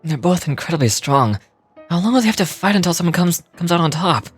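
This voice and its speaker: Young watcher, anxious, curious, earnest, musing aloud, video game drama. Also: male voice, fairly high pitch, animated, fast talker, breathy edge, faint music behind. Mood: worried, wondering.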